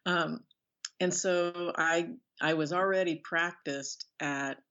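A middle-aged American woman being interviewed as an author, speaking thoughtfully and haltingly as she searches for words. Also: fairly low pitch, fairly even intonation, fairly bright tone, lo-fi sound. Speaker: interview guest, author and professor. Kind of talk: reflective interview conversation.

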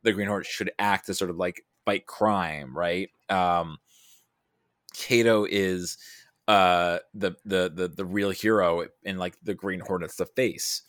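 A man talking casually about films, thinking aloud in a halting, animated way with self-quoting asides. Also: low voice, no room sound, studio quality. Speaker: podcast guest discussing film. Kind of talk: casual film discussion.

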